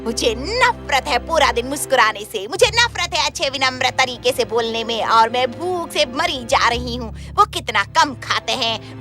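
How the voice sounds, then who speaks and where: A woman voicing a furious, ravenous witch in a fairy tale, ranting and wailing melodramatically. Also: high-pitched. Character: ravenous, furious, wild witch weary of playing princess. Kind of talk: audio fairy tale voice acting.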